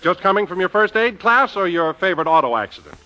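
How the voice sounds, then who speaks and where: A man's voice: high-pitched, animated, fast, sharp tone, rough recording. A man playing genial announcer and straight man in polished 1940s radio comedy banter.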